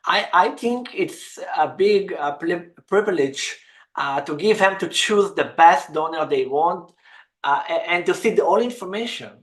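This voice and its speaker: A woman running an egg donation agency, speaking in halting, non-native English on a webinar. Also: lowish voice, lively intonation. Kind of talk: webinar talk.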